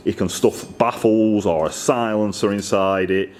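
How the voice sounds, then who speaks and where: A man's voice: fairly low pitch, fairly even intonation, unhurried, darkish tone. Chatty man in a noisy workshop. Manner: chatty, rambling, self-deprecating, enthusiastic. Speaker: motorcycle exhaust maker. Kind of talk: casual workshop vlog. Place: indoor workshop.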